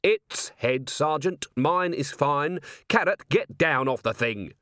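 A man voicing a brisk city watch commander in an audiobook, curt, dry and authoritative. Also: fairly high pitch, animated, a bit bright, no room sound, voice pushed up. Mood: commanding.

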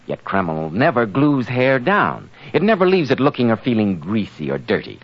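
A man's voice: medium pitch, big pitch swings, moderate pace. An American man announcing a 1940s radio show in a polished, chummy salesman's style. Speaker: announcer and sponsor pitchman. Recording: dull lo-fi 1940s radio recording.

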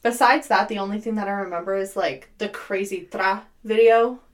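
A young woman co-hosting a casual podcast chat, animated and quick to laugh. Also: mid-range voice. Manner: laughs often, animated storytelling. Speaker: podcast co-host.

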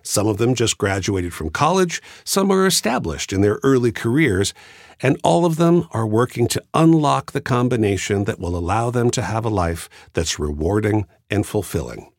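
A man hosting a podcast, reading a measured, thoughtful scripted introduction. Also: fairly low pitch, animated, no echo, studio quality. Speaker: podcast host. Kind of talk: scripted reflective narration.

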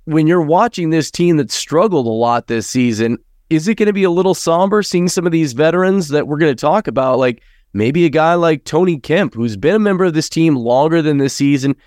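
A man hosting a casual sports podcast, slightly raspy from congestion and chatty, steering the talk with long questions. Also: on the high side, a little mellow, no echo, clean studio sound. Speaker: podcast host.

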